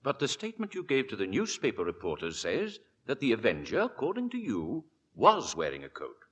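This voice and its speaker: A man's voice: mid-range voice, wildly swooping pitch, slightly rough. A man playing a courteous, probing Victorian coroner in an old radio drama, formal and official, with hesitations. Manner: formal, measured, hesitant with uhs.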